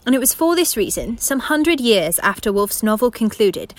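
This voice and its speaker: Formal, measured storyteller played by a woman. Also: a little high, sing-song, no room sound. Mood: lyrical, calm. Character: formal, philosophical storyteller of a radio play. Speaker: narrator. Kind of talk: scripted literary narration.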